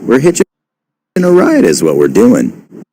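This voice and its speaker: A man's voice: medium pitch, huge pitch swings, measured pace, warm tone. A man playing a brash, impatient bouncer who brawls his way through a video game cutscene. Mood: defiant. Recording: echoey game audio with music.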